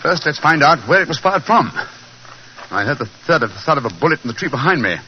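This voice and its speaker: An actor playing a shrewd, self-possessed gentleman detective with a British accent, speaking briskly and precisely in 1940s radio drama style. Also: on the high side, big pitch swings. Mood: brisk, alert. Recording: muffled, noisy vintage radio recording.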